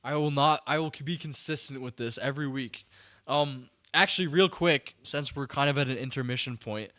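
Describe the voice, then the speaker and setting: Young male streamer, mid pitch, smooth clear voice, dry close mic, a bit tired, rambly. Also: normal speed, bright, forceful tone, no echo. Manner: rambling, restarts sentences, talks to chat viewers. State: slightly tired. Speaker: podcast host livestreaming a film breakdown. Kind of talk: casual enthusiastic film commentary to chat.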